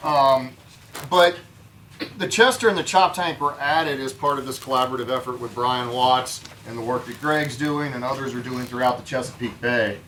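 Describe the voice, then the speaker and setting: A man's voice: medium pitch, fairly even intonation, normal speed, bright, forceful tone, recorded from a distance, slight background noise, low-quality recording. A man giving a conference talk as a field biologist, plainspoken and informal.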